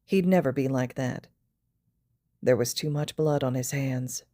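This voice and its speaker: Female podfic narrator, low pitch, scripted storytelling, very dry close sound. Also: unhurried, dark tone, no echo. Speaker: podfic reader.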